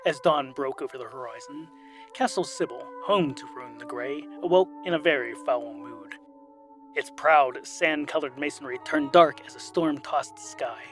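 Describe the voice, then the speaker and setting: A man's voice: mid-range voice, moderate pace, a bit bright, soft background music. A man reading scripted comic fantasy narration as a theatrical storyteller.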